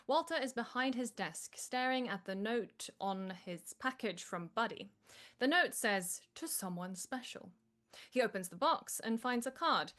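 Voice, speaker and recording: A woman's voice: a little high, fairly bright tone. A woman narrator reading screenplay stage directions aloud.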